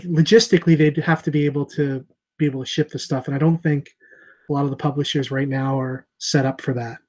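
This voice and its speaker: A middle-aged man hosting a casual video call chat as a comic shop owner, thinking aloud with many pauses and fillers. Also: on the high side. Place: small room with shelves of comics behind. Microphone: earbud headset on a video call.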